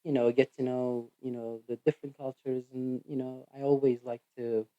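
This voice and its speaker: Turkish man, physical therapist guest, accented English, mellow tone, hesitant delivery, dry close recording. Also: medium pitch, little pitch movement, dry recording, dull audio. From Turkey. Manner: halting, full of "you know" fillers. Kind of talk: podcast interview answers.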